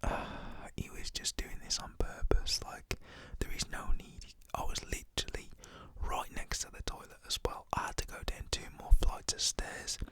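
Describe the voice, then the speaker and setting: A man's voice: moderate pace, few pauses. A young British man whispers a casual, slangy, rambling story in an ASMR style.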